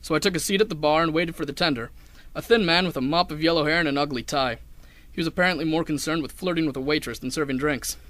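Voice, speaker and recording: Young man acting a bright-voiced hard-boiled private eye. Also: fairly high pitch, fast, dry recording, close to an everyday voice. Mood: wry narration. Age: young adult. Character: hard-boiled, wry male 1930s private detective. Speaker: narrator and lead actor. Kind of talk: 1930s noir radio drama, first-person narration.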